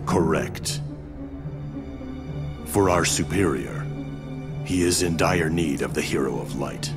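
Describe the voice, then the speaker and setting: An actor playing a menacing, imposing warrior of darkness in a video game, speaking in a grave, commanding, formal way. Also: male voice, rumbling low, takes it slowly. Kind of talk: dramatic video game voice acting. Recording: loud ominous music behind.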